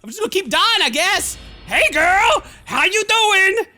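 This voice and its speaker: A man's voice: very high-pitched, very animated, measured pace, sharp tone, faint music behind. A man doing jokey, exclamatory, slangy commentary on a horror game while reading its dialogue aloud. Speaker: let's player.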